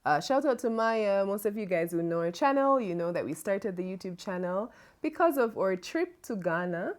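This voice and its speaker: A woman's voice: mid-range voice, expressive, normal speed. A Jamaican woman co-hosting a casual chat, talking freely and laughing easily. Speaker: co-host.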